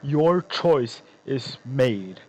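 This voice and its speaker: A man's voice: mid-range voice, animated, very slow, darkish tone, muffled recording. A man voicing a proud, wrathful angel from a video game, declaiming in a grand, solemn, commanding way over a buzzing recording. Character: proud, wrathful male angel, righteous hand of God. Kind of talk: theatrical video game villain acting. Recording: buzzing noise.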